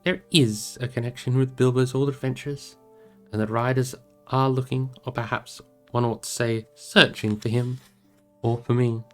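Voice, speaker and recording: A man's voice: medium pitch, steady tone, moderate pace, fairly bright tone, natural voice. A man voices an earnest male hobbit hero from a storybook. He is guarded and careful with his words, a little hesitant in delivery, with music underneath. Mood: uneasy.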